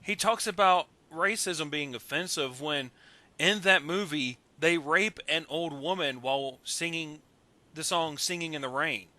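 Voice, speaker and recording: A man with a very sharp, forceful voice, slightly high in pitch, chatting on a voice call. He argues about films emphatically and insistently. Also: a little slow. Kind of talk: casual chat, film debate on a group voice call.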